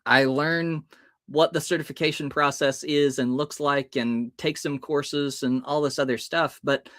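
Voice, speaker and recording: A man's voice: fairly high pitch, steady tone, unhurried, fairly bright tone. An American man in his early thirties telling personal stories as a podcast guest, expressively acting out bits of dialogue as he goes. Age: early 30s. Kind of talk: animated personal storytelling.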